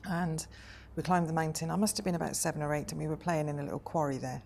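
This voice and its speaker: Low gravelly-voiced woman in her forties. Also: fairly even intonation, fast talker, darkish tone, a little hiss or hum. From Wales. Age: 40s. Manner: thoughtful, hesitant with frequent ums. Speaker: interviewee. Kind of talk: reflective personal interview.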